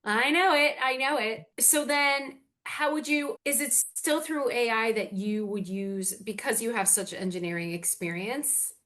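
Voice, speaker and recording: A woman's voice: on the high side, a little slow, hardly any pauses, a bit bright. A middle-aged woman hosting a podcast, chatting candidly and with a self-deprecating streak. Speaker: podcast host. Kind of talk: casual interview chat.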